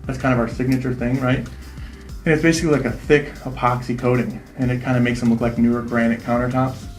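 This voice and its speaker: A man in his thirties, a real estate investor, answering interview questions quickly and confidently, often tagging "right?" onto his points. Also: mid-range voice, flat delivery, echoey room, soft background music. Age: 30s. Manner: fast, confident, repeats "right?".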